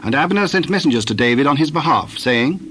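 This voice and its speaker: A man narrating scripture from a script in a solemn, measured style, phrase by phrase. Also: a little high, lively intonation, fairly bright tone, slight background noise.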